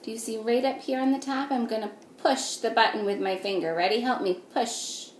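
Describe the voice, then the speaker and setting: A woman teacher reading a picture book aloud to young children, patiently, in a sing-song way, pausing to ask them simple questions. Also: on the high side, fairly even intonation. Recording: echoey room with faint noise.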